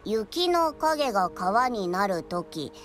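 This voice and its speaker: A woman's voice: mid-range voice, big pitch swings, takes it slowly, a little hiss or hum. A woman voicing a brusque, curious young adventurer in a game. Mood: measured, reading. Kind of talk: game voice acting.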